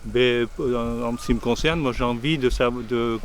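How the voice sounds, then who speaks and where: A man taking part in a bronze workshop, interviewed for a documentary, halting and searching for his words. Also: medium pitch, fairly even intonation, slight background noise. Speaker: workshop participant.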